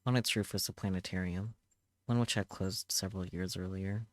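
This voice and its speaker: A man reading a visual novel aloud as dramatic first-person narration, solemn and measured, in short halting phrases. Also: deep voice, steady tone, no echo, studio quality.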